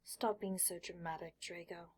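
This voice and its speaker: A woman's voice: fairly low pitch, steady tone, moderate pace, a little mellow, husky. A woman voicing a disdainful aristocratic father in a clipped, world-weary manner for an audiobook story.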